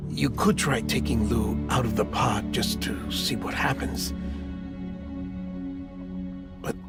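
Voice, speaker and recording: A man playing a reluctant, measured government official in a cinematic video game, torn between rules and sympathy. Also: mid-range voice, lightly acted. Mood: somber, careful. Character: reluctant, rule-bound adult male government official, secretly sympathetic. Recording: dramatic music behind the voice.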